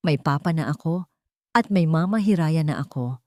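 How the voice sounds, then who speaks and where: A woman's voice: sits low, animated, normal speed, a little mellow, no room sound, clean studio sound. A woman voicing a sweet, affectionate, eager little child in a storybook narration. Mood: happy, tender.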